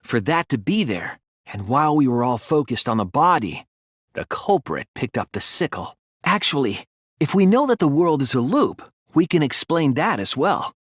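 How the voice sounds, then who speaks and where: A man voicing an earnest young male student detective in a video game, explaining his deductions in a deliberate, thoughtful way. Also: fairly high pitch, very animated, no room sound, studio quality. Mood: measured reasoning. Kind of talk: scripted video game voice acting, deduction speeches.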